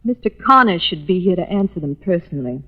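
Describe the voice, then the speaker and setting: A woman's voice: on the low side, animated, brisk. An actress playing a polite, composed young woman in a 1950s radio drama. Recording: muffled old-time radio recording.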